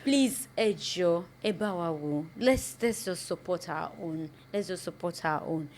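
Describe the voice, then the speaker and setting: A Nigerian woman with a Yoruba accent hosts the conversation, pleading with viewers and murmuring soft "hmm" replies. Her voice sits somewhat low for a woman. Faint noise hangs behind her. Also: unhurried. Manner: pleading directly to the audience; frequent soft "hmm" backchannels. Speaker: talk show host. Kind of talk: interview and appeal to viewers.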